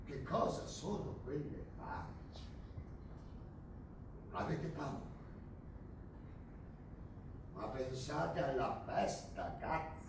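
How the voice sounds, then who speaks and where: A man's voice: medium pitch, gravelly, exaggerated voice. A male stage actor playing a menacing, boastful army captain of a dictatorship, pompous and scornful in a theatrical, declamatory way. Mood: goading, boastful. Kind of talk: theatrical stage drama acting. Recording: very echoey distant stage recording, noisy.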